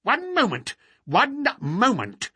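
Man playing tiny elderly miser lord, high-pitched, crackling, indignant, twitchy, sharp-edged, storybook character. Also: sing-song, husky, dry recording, exaggerated voice. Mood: querulous, suspicious. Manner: querulous, quick and intense.

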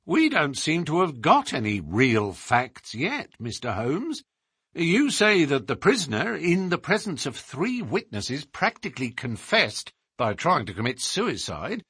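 A man's voice: on the high side, very animated, measured pace, pushed and bright, husky, no echo, clean studio sound, voice pushed up, full character voice. A man voicing a respectful, plain-spoken and often puzzled police inspector in an audiobook.

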